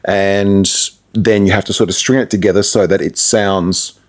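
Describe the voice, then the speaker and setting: A man's voice: low voice, fairly even intonation, normal speed. A man talking casually in an interview as a radio presenter, explaining things through anecdotes and asides.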